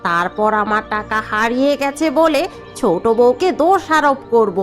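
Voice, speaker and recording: A conniving young daughter-in-law, voiced by a woman in an animated family drama. Her voice is high and confident, and she talks as if she already has a plan worked out. Faint music sits behind her. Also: moderate pace, natural voice. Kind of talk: cartoon voice acting.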